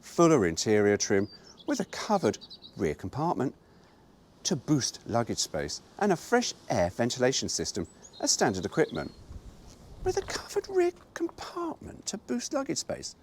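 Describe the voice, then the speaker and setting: A man's voice: mid-range voice, huge pitch swings, normal speed. Male host, wry brochure reader, swoops up and down a lot, slight hiss behind. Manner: wry, mock-indignant asides. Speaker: host reading a car brochure. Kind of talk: reading aloud with asides.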